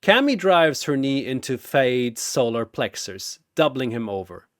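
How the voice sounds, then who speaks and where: Slow, theatrical male narrator, very dry sound. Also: mid-range voice, sing-song, a bit bright, dry recording. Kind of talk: dramatic scripted battle narration.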